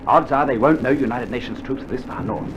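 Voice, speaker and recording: An actor playing a laconic, dryly stern Australian sergeant major with gruff understatement, in a 1960s radio drama. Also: male voice, mid-range voice, fairly quick, lightly acted. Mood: wary. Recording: muffled 1960s vinyl, faint noise.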